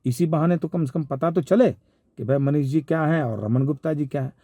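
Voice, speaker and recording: A man with a Bihari accent giving informal spoken commentary in a measured, halting, explanatory way. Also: fairly high pitch, dark tone, dry recording. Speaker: commentator giving his analysis of a viral call.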